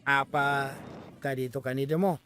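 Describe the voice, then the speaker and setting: Bright, whiny caterpillar sidekick played by a man. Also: medium pitch, fairly even intonation, moderate pace, a little hiss or hum, natural voice. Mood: whiny, cocky. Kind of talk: preschool cartoon dub.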